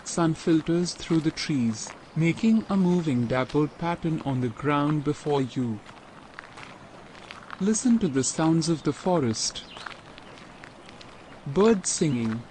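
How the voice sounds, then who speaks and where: A man guiding a meditation in a slow, soothing, evenly paced scripted voice. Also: on the high side, animated, a little mellow, a little hiss or hum, rough recording. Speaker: meditation guide.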